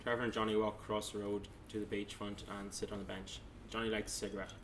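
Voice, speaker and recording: A man's voice: lowish voice, little pitch movement, fast talker, noisy background. A man narrating stage directions at a table read, plainly, with small stumbles as he reads.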